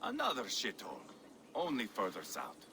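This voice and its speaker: A man's voice: lowish voice, huge pitch swings, normal speed. A man playing a sadistic, crude Viking warlord in a video game, taunting and swaggering. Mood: scornful. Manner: taunting, swaggering, foul-mouthed. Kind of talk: video game cutscene acting. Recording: clear background noise.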